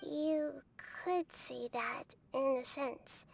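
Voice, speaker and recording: High-pitched, wise young goddess. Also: female voice, vocal fry, full character voice. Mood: explaining. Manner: measured, formal, gentle. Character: wise young girl deity, gentle, calm, composed. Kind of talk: video game voice acting.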